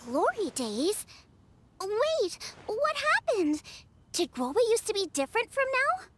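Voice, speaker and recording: Naive, curious game girl: very high, wildly animated, exclamatory and bewildered. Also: slow pace, exaggerated voice. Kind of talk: video game character dialogue.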